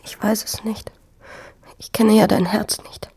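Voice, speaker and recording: An actress playing a distraught young peasant maid in a German radio drama, anguished and intense, with a breathy, husky voice. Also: female voice, medium pitch, little pitch movement, a little slow, darkish tone, dry recording, whispered, clean studio sound, heavily acted. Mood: hushed, wounded.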